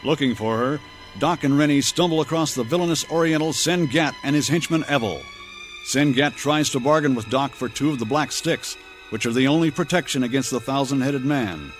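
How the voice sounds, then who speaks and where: A man's voice: mid-range voice, a little fast. A man announcing and narrating an old-time radio adventure serial in a theatrical, declamatory way. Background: dramatic music.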